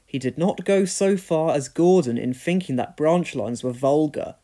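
A man's voice: on the high side, moderate pace, mellow. A man reading a children's storybook aloud as a scripted narration. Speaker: story reader.

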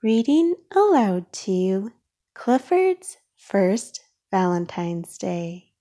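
A woman reading a children's storybook aloud in a careful, expressive way for young listeners. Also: medium pitch, very slow. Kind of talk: read-aloud storybook narration.

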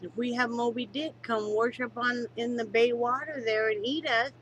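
A woman's voice: fairly high pitch, steady tone, moderate pace, dull audio, slight background noise. A woman in her fifties, congested, candidly talking to her webcam at home and swearing freely. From Wyoming, United States. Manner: candid, swears freely, turns somber and quiet. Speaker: vlogger. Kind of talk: casual home vlog. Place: living room at home, on a couch. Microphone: webcam at close range.

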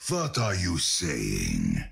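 An actor playing a huge, gruff, hostile brute in a video game, with a gravelly voice. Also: male voice, rumbling low, wildly swooping pitch, very slow, fairly bright tone, exaggerated voice. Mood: threatening.

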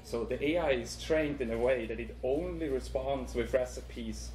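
A man's voice: mid-range voice, normal speed, a little mellow. A man from Switzerland with a Swiss accent, presenting a conference talk and live demo with many ums, explaining step by step. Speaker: conference speaker. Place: conference room. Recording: echoey room with faint noise.

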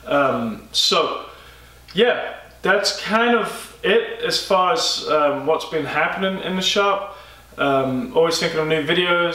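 Bright-voiced man with lots of ums. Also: on the high side. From United States. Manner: halting, many ums, relaxed and friendly. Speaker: furniture maker. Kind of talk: casual shop update to camera. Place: woodworking shop indoors. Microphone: camera mic a few feet away. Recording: echoey workshop, mic at a distance.

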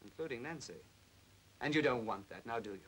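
An actor playing a smooth, manipulative upper-class English captain in his thirties in a 1940s British film drama. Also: medium pitch, animated, fairly quick, poor audio. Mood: persuasive. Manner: suave, persuasive. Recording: hissy old film soundtrack.

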